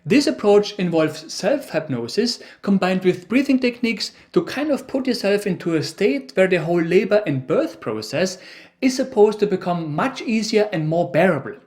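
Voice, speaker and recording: A man's voice: high-pitched, sing-song, normal speed, a little mellow. A man with an Austrian accent, presenting an educational video as the science expert, with dry, teasing humor.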